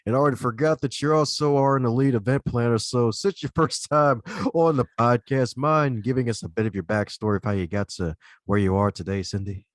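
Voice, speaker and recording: A man hosting a podcast interview, upbeat and quick to joke. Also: medium pitch, steady tone, no room sound, studio quality. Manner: upbeat, jokey, laughs easily. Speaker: podcast host.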